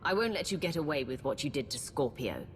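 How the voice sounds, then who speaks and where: Deep-voiced, resolute commander. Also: female voice, expressive, fairly quick, long reverb tail, faint music behind. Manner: firm, direct. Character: resolute female commander, principled and direct. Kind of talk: video game acting.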